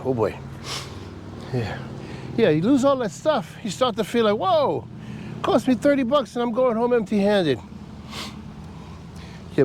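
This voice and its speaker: A man sounding nasal and congested from a cold talks on a camera mic outdoors, rambling and chuckling at his own complaints. His voice sits a little high for a man, with faint traffic noise in the background. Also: darkish tone. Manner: chatty, rambling, laughs at himself. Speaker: travel vlogger. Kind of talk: casual walking vlog. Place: outdoor park by a causeway bridge.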